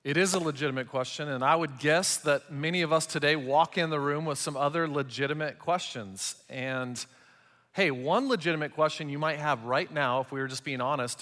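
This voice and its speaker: A man preaching to a church crowd in a relaxed, joking way. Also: on the high side, normal speed. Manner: joking, conversational. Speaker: pastor. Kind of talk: sermon. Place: large church auditorium. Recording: long hall reverb.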